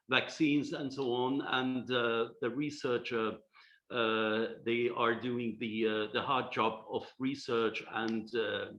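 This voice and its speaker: A man moderating a virtual forum, speaking at an ordinary pitch with frequent "uh" and "um" hesitations. His formal remarks come through a video call with dull, lo-fi audio. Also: fairly bright tone. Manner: hesitant, many fillers. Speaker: session moderator.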